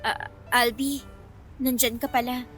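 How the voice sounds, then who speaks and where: A playful young woman in love in a radio romance. Her voice is high and bright. Faint music runs behind her. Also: level tone, measured pace. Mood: teasing. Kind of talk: radio romance drama acting.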